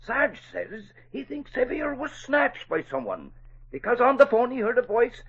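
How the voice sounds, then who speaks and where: An actor playing a blustery, nosy newspaper man with a husky, folksy grumble in 1940s radio style. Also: extremely high pitch, very animated, moderate pace, fairly bright tone, full character voice. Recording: dull vintage 1940s radio recording.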